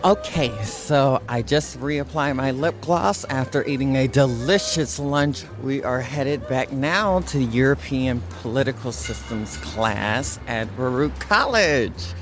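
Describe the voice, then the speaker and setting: A man talking in a casual, playful spoken-diary style with drawn-out words. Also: mid-range voice, expressive, music in the background.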